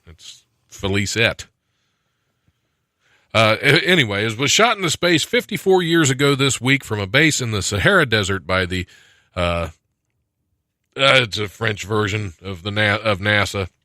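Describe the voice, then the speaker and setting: Guy hosting a comedy news show, really lively pitch, bright punchy voice, dry close studio sound. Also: measured pace, pauses often, dry recording. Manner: joking, reading news items with sarcastic asides. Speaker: podcast host. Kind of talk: comedic news commentary, casual banter.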